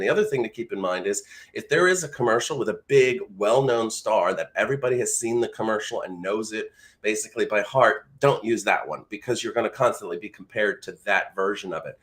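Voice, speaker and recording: A man's voice: lowish voice, a bit bright. A man speaking as a coach and host, giving informal, explanatory instruction in a conversational way. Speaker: voice-over coach and live show host.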